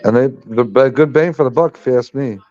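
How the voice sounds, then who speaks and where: A man with a smooth, resonant voice chats casually as a guest on a car livestream, relaxed and teasing. The audio is a little muffled and lo-fi, as if it came over a call. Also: mid-range voice, fast.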